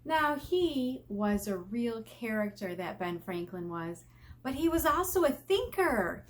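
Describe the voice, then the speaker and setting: An American woman reading a picture book aloud as an elementary teacher, expressive and teacherly, stressing key words and putting questions to her young listeners. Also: a little high, unhurried. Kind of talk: children's read-aloud with explanatory asides.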